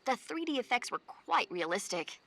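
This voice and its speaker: A woman's voice: a little high, sing-song, moderate pace, creaky, a bit bright, exaggerated voice. An actress playing a chatty, earnest female support officer and film buff in a video game, explaining things conversationally.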